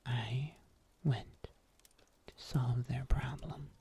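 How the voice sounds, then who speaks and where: A performer voicing a calm, seasoned monster huntsman in a soft, breathy ASMR whisper, telling tales slowly and deliberately. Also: mid-range voice, heavily acted. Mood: calm, storytelling. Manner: slow, deliberate, hushed storytelling with long pauses.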